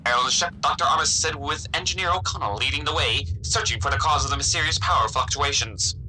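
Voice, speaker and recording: A man's voice: fairly high pitch, fast talker, pushed and bright, a little hiss or hum, poor audio. A man playing a dramatic adventure serial announcer, reading scripted recap narration.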